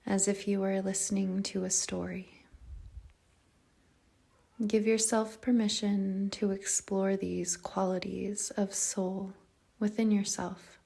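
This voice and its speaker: A woman guiding a meditation in a soft, breathy, husky voice, speaking calmly and gently in short, measured phrases with long pauses. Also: medium pitch. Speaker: yoga nidra meditation guide. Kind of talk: guided meditation, slow soothing narration.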